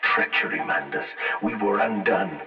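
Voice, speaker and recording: A man acts out a muffled, low-pitched machine mind from a horror game. It speaks solemnly in archaic, commanding phrases, ominous and pleading at once. The sound is echoing and distant, with music underneath. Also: a little slow, natural voice. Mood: urgent, commanding. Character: ominous, pleading male machine intelligence posing as guide. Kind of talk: theatrical video game acting.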